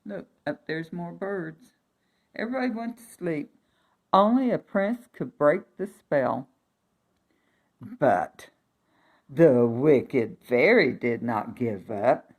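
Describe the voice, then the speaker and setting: A woman reading a fairy tale aloud for young children, expressive, with chatty asides and emphatic repetition. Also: deep voice, slow, long pauses.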